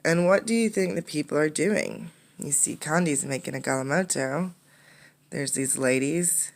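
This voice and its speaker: A woman reading a children's storybook aloud with expressive narration, pausing to put simple questions to her listeners. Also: deep voice, creaky. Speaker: teacher reading a picture book aloud.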